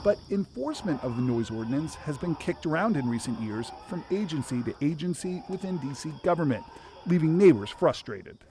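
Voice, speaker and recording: A man's voice: a little high, expressive, brisk, noisy background. A man with an American accent narrating a scripted investigative news report.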